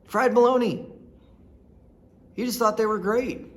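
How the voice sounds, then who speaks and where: A man's voice: high-pitched, measured pace. Blunt folksy storytelling man. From rural Nebraska. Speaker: storyteller host. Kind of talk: casual personal storytelling.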